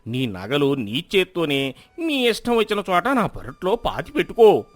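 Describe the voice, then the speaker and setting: High-pitched greedy village shopkeeper. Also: male voice, normal speed, no echo. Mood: gloating, fawning. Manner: oily, exaggerated, animated. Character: greedy, two-faced village shopkeeper, gloating and flattering.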